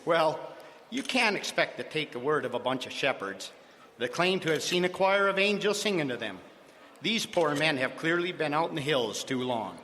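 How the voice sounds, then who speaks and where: An actor playing a pompous, evasive Roman governor giving curt official statements in a live radio drama. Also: male voice, a little high, animated, normal speed, bright, forceful tone. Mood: dismissive. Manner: stiff, dismissive official statements. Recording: live stage recording in a hall with faint hiss.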